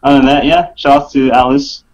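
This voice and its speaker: A man chatting casually as a podcast guest, in a halting, hesitant way. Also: medium pitch, little pitch movement, darkish tone, dull audio. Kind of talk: casual live podcast chat.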